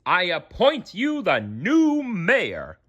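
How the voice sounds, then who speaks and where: A grand storybook mayor, acted by a woman narrator, speaking in a raised, forceful voice. The delivery is formal and declamatory, full of ceremonial gratitude. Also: on the low side, wildly swooping pitch, slow, slightly rough, muffled recording, pitched above the performer's own voice, exaggerated voice. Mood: grandly grateful. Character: grand, grateful city mayor making proclamations.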